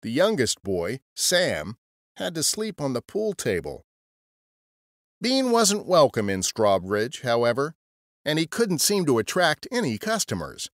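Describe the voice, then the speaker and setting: Lively male storyteller. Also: medium pitch, a little slow, no echo, clean studio sound. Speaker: podcast narrator. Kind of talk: scripted storytelling narration.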